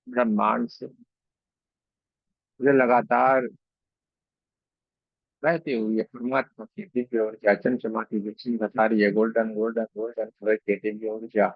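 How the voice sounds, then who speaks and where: A man leading a guided meditation as a reiki guide, speaking slowly and soothingly with pauses and repeated phrases. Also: lowish voice, little pitch movement, dry recording, muffled recording. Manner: slow, soothing, repetitive instruction with frequent pauses.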